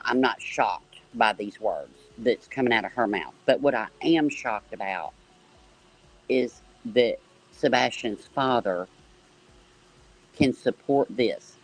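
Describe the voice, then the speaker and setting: A man speaking as a live-stream guest, casual but deliberate, halting and then stressing his points. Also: medium pitch, low-quality recording. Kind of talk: casual true-crime reaction chat.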